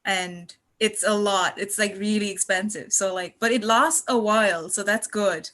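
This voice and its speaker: A woman in her twenties from Malaysia talks casually as a podcast contributor. Her voice sits at a middle pitch, and her way of talking is chatty, effusive and rambling. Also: normal speed, a bit bright. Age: 20s. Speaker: podcast guest and student contributor.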